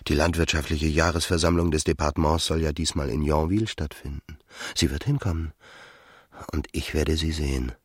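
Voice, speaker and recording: An actor playing a cynical, calculating seducer in a radio play, husky and intimate, smooth-tongued and sure of himself. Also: male voice, a bass voice, a little fast, no room sound, clean studio sound. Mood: calculating, musing. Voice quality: gravelly, husky. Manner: intimate, murmuring, drifting into whispers. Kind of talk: German radio play acting.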